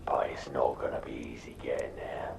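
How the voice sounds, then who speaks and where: Shady game informant, very low pitch, whispery growl, sly, conspiratorial. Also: male voice, flat delivery, normal speed, vocal fry, darkish tone, echoey room, recorded from a distance, full character voice. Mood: sly, informative. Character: shady underworld informant, gruff sly man. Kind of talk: video game character acting.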